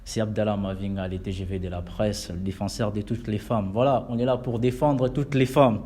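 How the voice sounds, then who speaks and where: Lively, emphatic Congolese-born male host. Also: on the low side, moderate pace, a little hiss or hum. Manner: emphatic, mixes French and Lingala. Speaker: web TV interviewer. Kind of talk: lively interview hosting. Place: inside a church.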